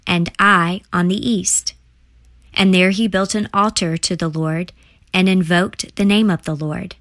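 A woman reading scripture aloud in a measured, reverent manner. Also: lowish voice, fairly bright tone, dry recording. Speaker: scripture reader.

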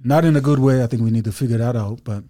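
A man talking as a podcast guest in a relaxed, chatty way, with lots of fillers and asides. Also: mid-range voice, fast talker, no room sound, clean studio sound. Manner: laid-back, chatty, many fillers and asides. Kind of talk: casual podcast chat.

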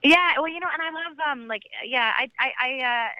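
A woman's voice: high-pitched, brisk, clean tone, dry recording, lo-fi sound. A Canadian woman chatting casually as an interview guest, in an informal, chatty manner. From Alberta, Canada. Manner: chatty, informal, frequent "like" and "you know". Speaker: country singer-songwriter, podcast guest.

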